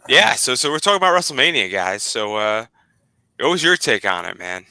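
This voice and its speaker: A man's voice: mid-range voice, big pitch swings, a little fast, sharp tone, smooth, no echo. A man in his twenties hosting a casual video-call chat, rambling and repeating words. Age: 20s. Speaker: podcast host.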